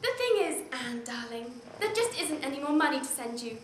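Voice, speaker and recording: An actress playing a fussing, apologetic mother leaving a hurried phone message. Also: high-pitched, sing-song, roomy sound, a little hiss or hum. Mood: fretful.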